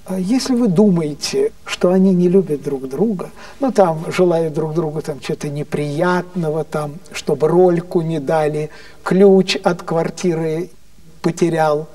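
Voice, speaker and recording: Man acting worldly theatre insider, soft mellow tone, confiding warnings, storytelling, distant mic, music behind. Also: fairly high pitch, unhurried, lightly acted. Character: worldly theatre insider, a man, dryly cautioning a younger friend.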